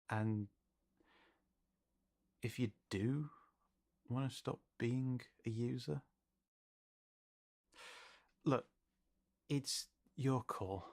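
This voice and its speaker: A man acts out a male fantasy companion with an easygoing, supportive temper. He talks softly at a very unhurried pace, halting often, in a mid-range voice. The delivery is intimate roleplay acting, gentle and reassuring. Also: medium pitch, gravelly. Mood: tentative, gentle. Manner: halting, soft-spoken, reassuring.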